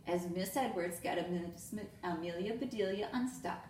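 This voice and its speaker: A woman reading a children's storybook aloud in an expressive way, as a teacher does for young children. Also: lowish voice, moderate pace. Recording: echoey room with faint noise.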